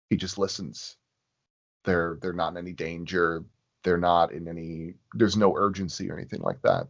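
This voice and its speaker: Slow-talking, low-voiced man telling stories. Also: muffled recording. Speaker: podcast guest. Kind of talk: casual conversational storytelling.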